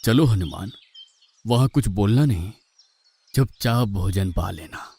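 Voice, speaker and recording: A man's voice: lowish voice, expressive, unhurried, dark tone, husky, heavily acted. A man voicing a kindly, indulgent god in human form in a cartoon, coaxing and instructing patiently. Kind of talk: Hindi cartoon mythological acting.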